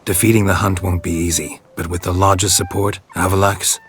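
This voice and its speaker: A man playing a gruff, stoic middle-aged monster hunter in a video game, gravelly and laconic, calm and caring. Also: sits low, moderate pace, a little hiss or hum, lightly acted. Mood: quiet, reassuring. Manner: laconic, understated.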